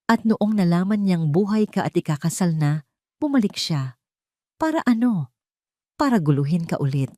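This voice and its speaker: Woman acting a calm, sorrowful, scheming mother. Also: fairly low pitch, no echo, clean studio sound. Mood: cold, persuasive. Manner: gentle, mournful, measured. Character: calm, sorrowful, manipulative wealthy older mother.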